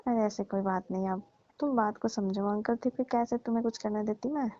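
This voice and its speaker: A woman's voice: mid-range voice, fairly quick, dark tone, dry recording, lightly acted. A woman playing a coaxing, teasing middle-aged auntie who persuades and placates in a staged comic phone call. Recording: dull, dry lo-fi phone recording.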